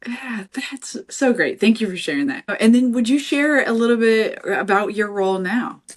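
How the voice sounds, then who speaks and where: A woman hosting a podcast interview, enthusiastic and quick with affirming interjections. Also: on the high side, lively intonation. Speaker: podcast host, nonprofit director.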